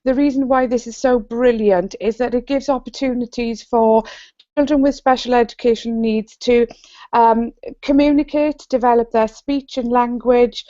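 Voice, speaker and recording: A woman's voice: on the high side, dark tone, no echo. A woman from South Wales with a Welsh accent, an author and trainer giving an enthusiastic app demo on a video call. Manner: bubbly and enthusiastic. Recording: dull lo-fi video call audio.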